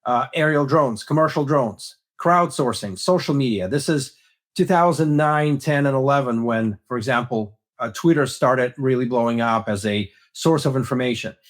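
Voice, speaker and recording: A man speaks as a guest expert. His delivery is measured and analytical, and his pitch sits in a middle range. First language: Russian. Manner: measured, explanatory, uses "right?" as a check. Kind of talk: analytical interview talk.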